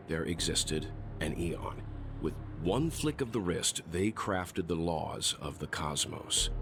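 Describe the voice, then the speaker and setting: An actor playing an astute, grave gentleman scholar in a video game, speaking deliberately and with erudite calm. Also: low voice, noisy background. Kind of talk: scripted video game voice acting, storytelling. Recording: clear music under the voice.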